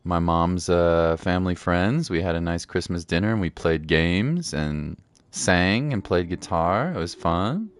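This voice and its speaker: Low-voiced man chatting on stream. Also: animated, a little slow, smooth. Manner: slangy, jokey, rambling. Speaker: game streamer. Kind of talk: casual livestream chat over gameplay. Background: game music.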